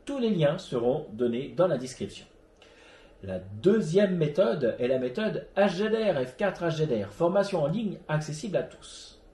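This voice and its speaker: A man's voice: a little high, sing-song, moderate pace, warm tone, roomy sound. A man hosting an amateur radio web show, presenting from a prepared script. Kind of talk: scripted presentation, read narration.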